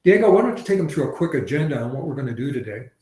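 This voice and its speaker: Guy from tennessee walking folks through a webinar, steady talk, lots of uhs, roomy echo. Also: medium pitch. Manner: measured, with frequent fillers and restarts. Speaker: co-presenter. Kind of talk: webinar presentation.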